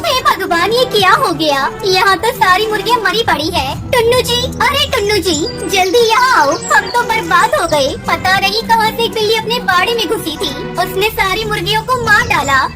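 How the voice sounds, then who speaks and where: A very high-pitched young female bird from a children's cartoon , acted by a woman. She sounds dutiful and childlike, speaking earnestly and politely. Prominent music plays underneath. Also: lively intonation, moderate pace, hardly any pauses. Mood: alarmed. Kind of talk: Hindi children's moral-story cartoon dialogue.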